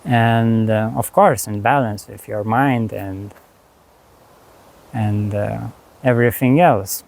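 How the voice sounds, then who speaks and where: A man with a Lithuanian accent, a spiritual teacher talking slowly and haltingly to camera about his course, with many pauses and restarts. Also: fairly low pitch, vocal fry, darkish tone. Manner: unhurried, halting, frequent fillers and restarts. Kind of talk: reflective monologue.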